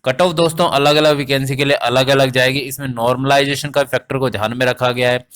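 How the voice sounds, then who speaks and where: Man, mid-pitched voice, coaching teacher, informal explainer, direct address to students, repeats for emphasis. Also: steady tone, brisk, a bit bright, clear, resonant tone. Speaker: exam coaching teacher and presenter.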